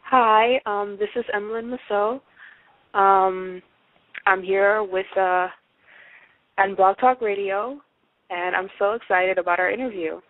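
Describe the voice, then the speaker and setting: A woman's voice: medium pitch, measured pace, pauses often, dry recording. A woman in her twenties from Haiti, a guest on a radio show, speaking hesitantly with many fillers. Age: 20s. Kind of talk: casual radio interview. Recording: dry, tinny phone-line audio.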